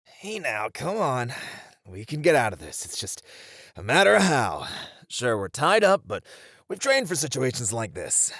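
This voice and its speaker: Playful, unflappable male ninja voiced by a man for ASMR audio drama; animated swings in pitch, gravelly fry, close dry sound. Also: unhurried, no echo, heavily acted. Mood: reassuring. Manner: teasing, reassuring, animated.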